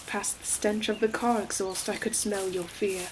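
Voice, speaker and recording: A woman performing an ancient female forest demon in an audio roleplay, solemn, poetic and deliberate, a tender and sorrowful protective old friend. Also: mid-range voice, steady tone, noisy background, close to an everyday voice. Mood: sorrowful, brooding. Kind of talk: scripted audio roleplay monologue, slow dramatic storytelling. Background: ambient environmental sound effects.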